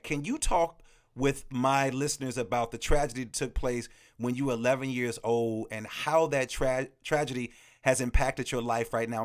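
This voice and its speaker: A man hosting a podcast, asking his guest long, winding questions in a conversational way. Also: medium pitch. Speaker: podcast host. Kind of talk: interview questions.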